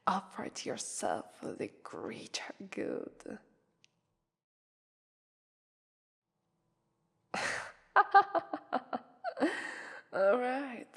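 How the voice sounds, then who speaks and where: A woman's voice: on the high side, exaggerated voice. A woman with an Italian accent voicing a haughty, mocking female vampire who toys with her prey in a theatrical ASMR roleplay. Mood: amused, scornful. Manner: mocking, theatrical, drawn-out phrasing with breathy whispers. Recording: long reverberant hall echo.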